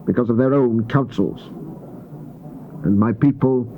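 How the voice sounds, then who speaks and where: Stern male prophet, played by an actor, mid-pitched, solemn declamatory scripture delivery for dramatized Bible, muffled noisy tape. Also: measured pace, close to an everyday voice. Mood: solemn sorrow. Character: stern male Old Testament prophet proclaiming God's judgment. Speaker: scripture reader. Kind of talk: dramatized King James Bible reading.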